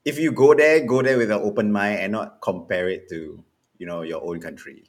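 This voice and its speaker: A man's voice: on the low side, moderate pace. A young man, co-hosting a casual podcast and laughing often. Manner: laughs often, lively intonation. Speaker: podcast co-host. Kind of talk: casual chat.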